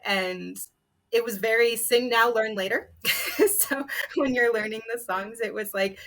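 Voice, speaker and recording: A woman's voice: mid-range voice, a bit bright. Informal woman sharing personal stories. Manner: informal, conversational. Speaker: storyteller panelist. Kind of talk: casual personal storytelling on a panel.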